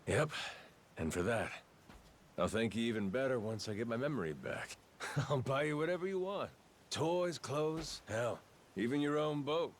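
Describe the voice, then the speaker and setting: An actor playing a gruff, easygoing Yakuza man with amnesia in a video game, speaking in rough, teasing, folksy slang. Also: mid-range voice, expressive, normal speed, a little hiss or hum, close to an everyday voice. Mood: warm, grateful. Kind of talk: video game cutscene acting. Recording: faint music behind the dialogue.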